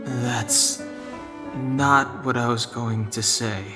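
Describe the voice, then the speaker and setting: A man's voice: medium pitch, steady tone, drawn-out words, music in the background. An actor playing a serious, cautious young man in a video game, speaking in a restrained, matter-of-fact way. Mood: stern. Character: serious, reserved, cautious young male travelling companion. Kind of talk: English video game voice acting.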